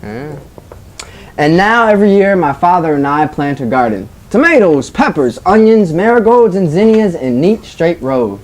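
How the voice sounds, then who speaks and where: Casting: classroom teacher, mid-pitched voice, storybook read-aloud and prompting questions for young pupils. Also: sing-song, normal speed, a little mellow. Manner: prompting children with questions, acknowledging their answers.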